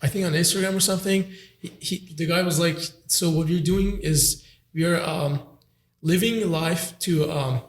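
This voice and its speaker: A man's voice: fairly high pitch, normal speed. A nineteen-year-old man hosting a casual podcast chat, rambling with lots of fillers and restarts. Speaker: podcast host. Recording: echoey room.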